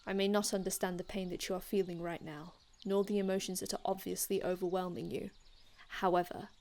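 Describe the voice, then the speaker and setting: A woman playing an aloof, formal male vampire healer from another time in an ASMR roleplay, speaking in a measured, haughty, hushed manner with archaic phrasing. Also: on the low side, little pitch movement, natural voice. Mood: cool, detached. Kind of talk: ASMR roleplay, scripted in-character monologue.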